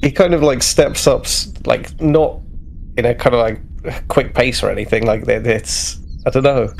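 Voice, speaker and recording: A man's voice: medium pitch, moderate pace, creaky, a little hiss or hum. A man playing a tabletop roleplaying game, casually improvising his character's actions and searching for words.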